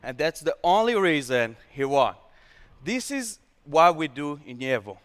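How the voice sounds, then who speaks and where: A young Israeli man, a startup founder on stage, pitches with lively gestures and slightly broken English. His voice sits a bit high and sounds smooth and resonant. The sound carries a long reverb from a large hall. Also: a little slow. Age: young adult.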